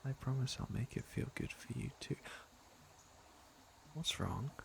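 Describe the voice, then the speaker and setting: A man's voice: mid-range voice, measured pace, a little hiss or hum. A man playing a teasing, flirtatious fantasy villain with a caring side, in an intimate ASMR roleplay. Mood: seductive, then concerned, gentle. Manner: smooth-talking, teasing, intimate. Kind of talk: ASMR roleplay acting, intimate second-person address.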